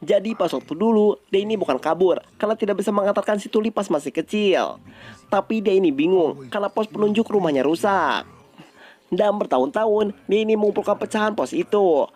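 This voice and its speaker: A man's voice: high-pitched, animated, a little fast, a little mellow. A man with an Indonesian accent narrating a story recap in a casual, colloquial storytelling style.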